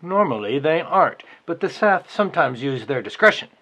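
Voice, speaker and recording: A man's voice: a little high, sing-song, normal speed, pushed and bright, heavily acted. A man playing an irritable male library boss in a dramatized novel reading, fussy, sarcastic and gossipy. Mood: waspish.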